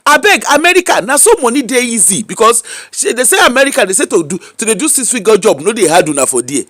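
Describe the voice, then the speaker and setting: Nigerian male commentator, high bouncy voice, teasing and rhetorical. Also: fast, no echo, clean studio sound. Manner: animated, mocking, rhetorical questions. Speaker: social media commentator. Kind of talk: casual commentary in pidgin. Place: UK-based.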